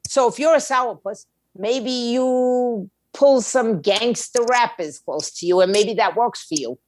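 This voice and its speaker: A woman's voice: a little high, measured pace, dry recording. A middle-aged woman giving conversational advice as an interview guest, blunt and streetwise, making her points with rhetorical questions. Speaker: podcast guest, music attorney and indie label owner.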